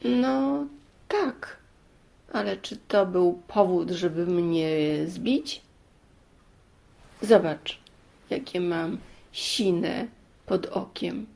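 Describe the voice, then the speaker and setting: A woman voicing a shy, wronged boy in a storybook, halting and aggrieved as he explains himself. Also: on the low side, gravelly, darkish tone, exaggerated voice.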